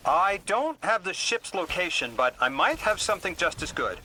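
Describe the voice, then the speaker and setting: A man's voice: sits high, sing-song, normal speed, bright, forceful tone, a little hiss or hum, full character voice, rough recording. An actor playing a cocky, driven young male intelligence operative in a video game, urgent and confident. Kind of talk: video game dialogue acting.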